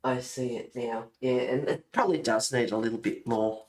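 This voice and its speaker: A man's voice: mid-range voice, moderate pace, darkish tone, reverberant. A man chatting casually and informally while colouring, rambling and thinking aloud as he goes. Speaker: host of a colouring channel.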